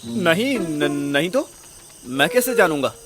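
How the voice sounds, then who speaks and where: A man's voice: a little high, big pitch swings, takes it slowly, fairly bright tone, slight background noise, heavily acted. A man playing a brash, foolish young womanizing sidekick in an audio drama, with a slangy, cheeky manner. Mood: evasive.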